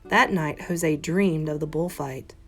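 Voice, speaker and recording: A woman's voice: low voice, slow. Woman reading a storybook over guitar. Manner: dramatic, emphatic exclamations and careful phrasing. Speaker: teacher reading aloud. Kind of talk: expressive read-aloud of a children's picture book. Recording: soft guitar music behind voice. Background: plucked guitar music.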